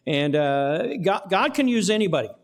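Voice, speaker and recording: Folksy higher-voiced pastor. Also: male voice, big pitch swings, moderate pace. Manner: folksy, repeats words, many fillers. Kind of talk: church announcements and prayer. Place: church sanctuary.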